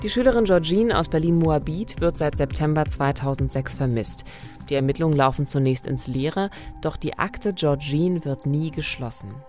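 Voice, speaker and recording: Very low-voiced woman narrating true crime. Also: moderate pace, darkish tone. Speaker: podcast host. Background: background music.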